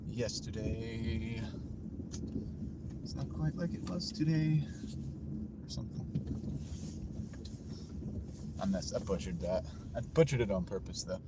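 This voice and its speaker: A man's voice: lowish voice, animated, takes it slowly, pauses often. A man chatting casually on a live stream while driving his delivery route, joking and rambling, laughing at his own jokes. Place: inside a moving car. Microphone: wireless clip-on mic. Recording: distant mic with loud road noise.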